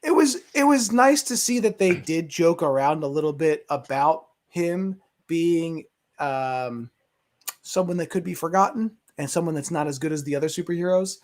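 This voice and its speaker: A man's voice: on the high side, animated, moderate pace. A man giving his opinions as a panelist in a casual movie discussion.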